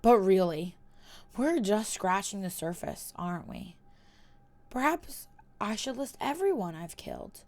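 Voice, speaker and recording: A woman voicing a cold, taunting young male wizard in a story reading, speaking with quiet, measured menace. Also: medium pitch, expressive, lightly acted. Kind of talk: reading a fan story aloud.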